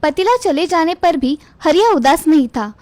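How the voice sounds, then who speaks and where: A woman's voice: very high voice, animated, normal speed, darkish tone, dry recording. A woman reading a scripted moral tale for children as the narrator.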